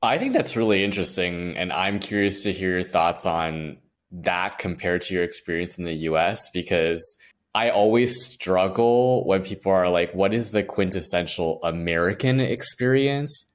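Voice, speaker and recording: A man's voice: sits low, moderate pace. A man co-hosting a podcast who asks curious follow-up questions in casual conversation. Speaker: podcast co-host. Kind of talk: casual interview chat.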